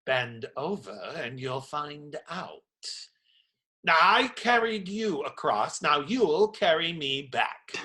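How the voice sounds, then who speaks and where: A man acts out a burly, pious friar in a radio play, his voice high and bright, full of theatrical indignation. He delivers mocking little sermons at a slow, deliberate pace. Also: sing-song, exaggerated voice. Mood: threatening, sardonic.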